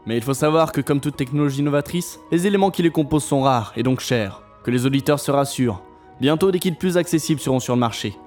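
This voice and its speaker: A man's voice: mid-range voice, a little mellow, soft background music, close to an everyday voice. An actor playing a composed, courteous male game inventor answering a radio interview in formal phrasing, in an audio drama. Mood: courteous, reassuring. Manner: polite, measured formal phrasing.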